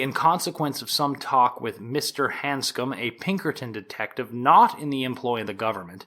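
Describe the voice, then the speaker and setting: A man playing a formal, deliberate 1890s prosecutor addressing a jury in a courtroom drama, in measured oratory with emphatic stresses. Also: fairly high pitch, a bit bright. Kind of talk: dramatized courtroom opening statement.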